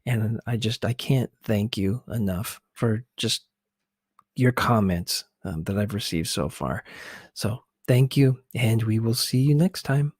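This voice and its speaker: A man hosting an ASMR video, giving casual, gently hesitant commentary on film scenes. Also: on the low side, measured pace, slightly rough, no echo, clean studio sound.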